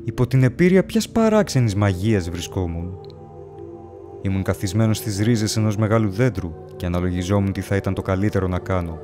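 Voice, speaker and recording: Man as a lost ancient spirit, deep-toned, awestruck, deliberate, dramatic horror storytelling, music underneath. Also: natural voice. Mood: pensive, bewildered. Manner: solemn, deliberate, theatrical. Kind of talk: dramatic first-person horror story narration.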